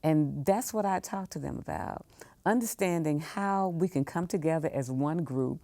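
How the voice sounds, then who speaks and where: An older woman speaks low and mellow, with a dry, close sound. She answers conversationally as an interview guest, leaning on repeated phrases for emphasis. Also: moderate pace, creaky, dry recording. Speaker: museum professional guest.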